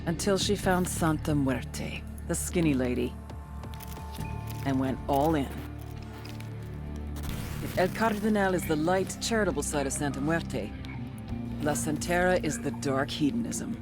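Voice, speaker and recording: An actress voicing a cynical, tough intelligence agent, narrating video game cutscenes in a blunt, sardonic way. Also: female voice, sits low, lively intonation, unhurried, long pauses, music in the background. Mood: sardonic briefing. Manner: sardonic, blunt, foul-mouthed. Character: cynical, tough female intelligence agent briefing on cartel targets.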